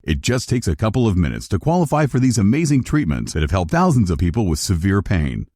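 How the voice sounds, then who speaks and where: A male announcer reads a scripted commercial in a low voice. His pitch moves very widely, and the sound is dry and close. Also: big pitch swings, fast talker, mellow, no echo. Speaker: advertising announcer.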